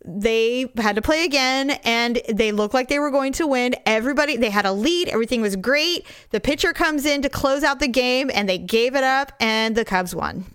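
Chatty hoarse woman, high clear voice. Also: moderate pace, few pauses, no echo, clean studio sound. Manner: chatty, animated storyteller, laughs often. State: hoarse, recovering voice. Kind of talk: casual chat.

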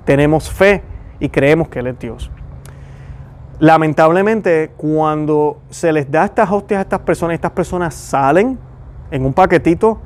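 Man, puerto rican accent, earnest questioning commentary, slightly high voice. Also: moderate pace. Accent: Puerto Rican Spanish. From Puerto Rico. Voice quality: frequent restarts and "¿verdad?" tags. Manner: earnest, asks many rhetorical questions. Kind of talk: religious commentary to viewers.

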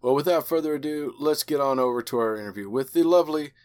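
A man's voice: a little high, fast talker. Easygoing male podcast host, enthusiastic. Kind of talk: chatty podcast hosting.

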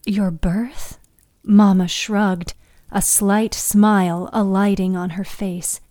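Slow, hushed woman narrator. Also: mid-range voice, level tone, no room sound, studio quality. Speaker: podcast writer and narrator. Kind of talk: scripted fiction narration.

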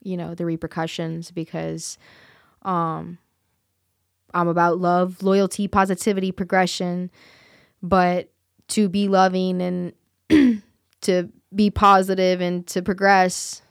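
A woman's voice: fairly low pitch, flat delivery, slow, creaky, clean tone, no echo, clean studio sound. A woman singer chatting as an interview guest, earnest and candid, with plenty of fillers and restarts. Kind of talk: casual interview chat.

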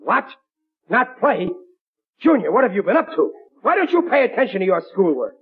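High-pitched, blustery American sitcom dad. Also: male voice, very animated, moderate pace, husky, exaggerated voice. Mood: indignant. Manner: blustering, ungrammatical everyman talk. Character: bumbling, blustery working-class father, stubborn and boastful. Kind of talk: 1940s radio sitcom acting. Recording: muffled old radio broadcast.

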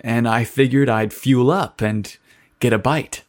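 A man's voice: medium pitch, measured pace, lightly acted. A man voicing a nervous young writer who stutters and sounds flustered.

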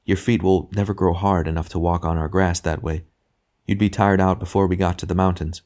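A man voicing a serene, joyful redeemed male spirit in an audiobook, speaking calmly and frankly with patient, gentle urging. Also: low-pitched, a little fast, natural voice. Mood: frank, kindly. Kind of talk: literary audiobook character dialogue.

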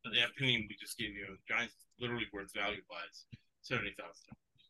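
Male betting-show host, second-language English speaker, very bright tone, rambling asides. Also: fairly low pitch, fast, low-quality recording. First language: not English. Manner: rambling, digressive, chuckles often. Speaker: sports betting podcast host. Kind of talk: casual betting talk.

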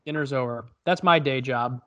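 A man joking around as a podcast guest, tossing out deadpan quips. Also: mid-range voice, moderate pace. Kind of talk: casual podcast chat.

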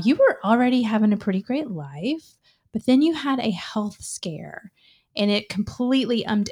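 A woman's voice: on the high side, a little mellow. A woman co-hosting a podcast who asks her guest questions in an encouraging way, with small fillers. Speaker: podcast co-host. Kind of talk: interview questions.

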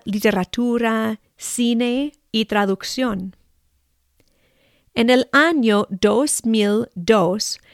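An American woman in her forties, a native English speaker and Spanish teacher, reading a personal story slowly and carefully with an American accent. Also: medium pitch, creaky, dry recording, studio quality. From United States. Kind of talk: read personal narrative.

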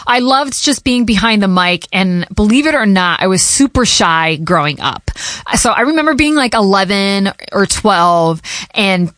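A woman's voice: mid-range voice, expressive, measured pace, fairly bright tone, dry recording. Chatty woman radio host, dry sound. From Connecticut, USA. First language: Portuguese. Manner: chatty, loose, laughs between thoughts. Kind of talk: casual personal Q&A to listeners.

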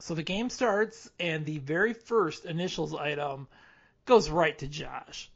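A man's voice: a little high, measured pace, gravelly, a bit bright. A man from Minnesota hosting a casual podcast recap, laughing often and talking with plenty of "uh" and "so". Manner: chuckling, filler-heavy storytelling. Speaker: podcast host. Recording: dull lo-fi audio.

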